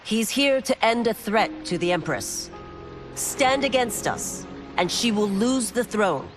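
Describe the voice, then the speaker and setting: A woman's voice: a little high, expressive, a little slow. An actress playing a stern, honourable warrior woman in a video game, solemn, resolute and formal, with dramatic delivery. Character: stern, honourable woman warrior, former royal guard turned constable. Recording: music behind.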